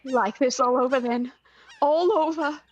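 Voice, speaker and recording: An actress playing a frightened, anxious wife in a vintage-style radio drama. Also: female voice, high-pitched, unhurried, muffled recording. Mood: alarmed.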